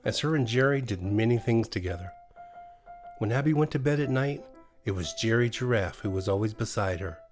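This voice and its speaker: A man's voice: on the low side, animated, brisk, a little mellow, soft background music. A man with an American accent narrating a scripted bedtime horror story as a storyteller. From USA.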